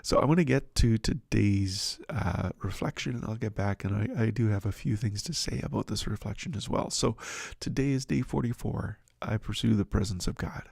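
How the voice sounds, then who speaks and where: A middle-aged man hosts a devotional reflection with a low, gravelly voice. He talks loosely and with self-deprecating humor, restarting his sentences and filling them with ums. The sound is close and dry, with almost no room around him. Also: normal speed, hardly any pauses, a little mellow, no room sound. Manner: rambling, self-deprecating, many restarts and fillers. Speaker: host of a devotional livestream and podcast.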